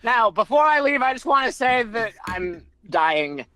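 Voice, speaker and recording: A man speaks in a very high, bright voice with lively swings in pitch. He improvises dubbing banter, exclaiming with mock drama. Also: unhurried. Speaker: fandub voice actor.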